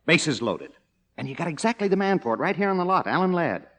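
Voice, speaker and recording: A man's voice: a little high, very animated, fast, fairly bright tone. An actor playing an anxious, broke screenwriter in 1950s radio drama, a hesitant salesman with a sarcastic edge. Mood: eager, nervous. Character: anxious, broke male screenwriter pitching a story.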